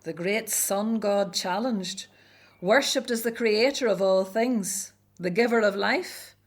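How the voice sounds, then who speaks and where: A woman's voice: medium pitch, takes it slowly. A woman acting in a radio drama as a caring, anxious, devout Hebrew elder sister, speaking earnestly and expressively. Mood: troubled, devout.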